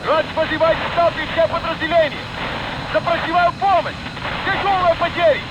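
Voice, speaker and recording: A soldier under fire, his voice strained and extremely high as he makes a desperate distress call. The game radio is dull and nearly buried in very loud noise. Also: fairly even intonation, normal speed. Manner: strained, urgent pleading.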